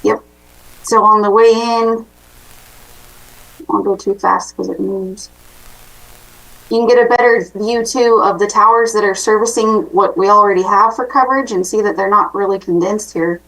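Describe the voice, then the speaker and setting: A woman's voice: medium pitch, fairly even intonation, normal speed, pauses often, a bit bright, distant mic, rough recording. A woman staff member giving informal, tentative remarks at a public county meeting, in a conversational way.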